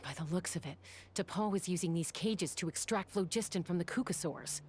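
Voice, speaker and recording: An actress playing a cool, no-nonsense young warrior woman in a video game, speaking in a measured, decisive way. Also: lowish voice, a little mellow, breathy edge. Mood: grave. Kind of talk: English video game voice acting.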